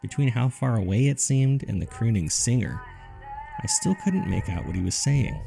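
A man narrating a scripted spooky first-person story in a measured, dry, conversational way, as if reading from a journal. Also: medium pitch, sing-song, vocal fry, dark tone. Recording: music under the voice. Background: background music.

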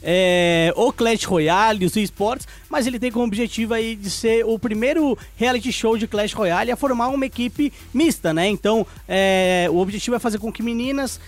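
Male esports co-host, Brazilian accent, high register, casual explainer delivery over light music bed. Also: normal speed, few pauses. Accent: Brazilian Portuguese. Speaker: esports show co-host and commentator. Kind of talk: casual studio news chat.

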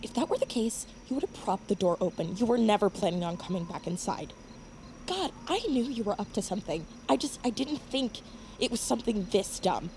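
A woman's voice: mid-range voice, lively intonation, a little fast, darkish tone, a little hiss or hum, close to an everyday voice. A young woman playing an exasperated, accusing but loyal girl in an audio drama, indignant and dramatic. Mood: indignant, hurt.

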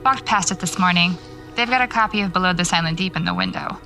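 A woman's voice: lowish voice, quick pace, over music, natural voice, rough recording. An actress playing a dry, sardonic, preoccupied woman novelist in an audio drama. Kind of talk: naturalistic audio drama dialogue.

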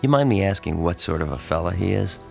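A wry probing reporter, real low n gravelly, audio drama. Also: male voice, animated, fast, soft background music, heavily acted. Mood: probing, polite. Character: male newspaper reporter, dogged, wry, probing.

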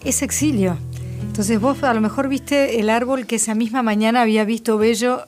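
A woman, hosting an interview and responding warmly to her guests. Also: fairly high pitch, fairly even intonation, moderate pace, soft background music. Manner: attentive, affirming. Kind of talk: interview conversation.

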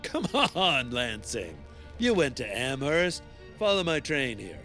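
An actor playing a cynical, exasperated political schemer with a sarcastic manner in an audio drama. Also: male voice, mid-range voice, very animated, a little slow, fairly bright tone, faint music behind. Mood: scheming.

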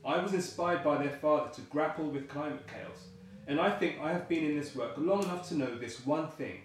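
A man's voice: on the high side, a little fast, lightly acted. A man playing an earnest, pragmatic engineer in a naturalistic audio play. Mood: earnest, insistent. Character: earnest, pragmatic older-generation agency engineer. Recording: very echoey room, faint noise.

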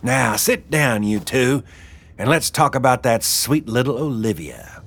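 A brash older woman who runs the gang, as a male narrator puts her on, pitched up and lively. She is coarse, folksy and mocking, a storybook boss who teases and orders people around. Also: a little slow, gravelly, soft background music. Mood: brash, commanding.

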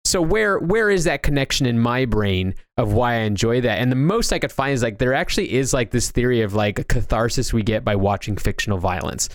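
Man in a halting, rambling casual discussion. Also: mid-range voice, animated, no echo, clean studio sound. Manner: rambling, halting, restarts thoughts often. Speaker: podcast co-host. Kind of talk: casual discussion of movies and games.

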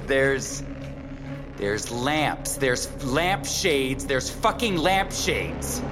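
An actor playing a shaken man in an audio drama, haltingly muttering and swearing as he dictates what he observes. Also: fairly low pitch. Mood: horrified. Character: shaken man surveying a grisly secret collection. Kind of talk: audio fiction, dictated first-person observations.

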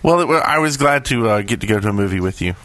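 A man's voice: lowish voice, expressive, fast talker, a bit bright, no room sound. A man co-hosting a podcast with casual, playful, joking banter. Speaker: podcast co-host.

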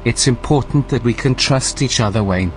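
A man performs a male AI running a remote station in an audio drama. The voice is medium in pitch, formal and measured, with veiled menace under its politeness. Faint music sits behind it. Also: natural voice. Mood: veiled threat.

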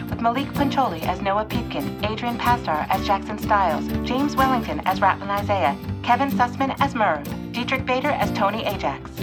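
A woman announcer reading the end credits in a steady, even manner. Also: mid-range voice.

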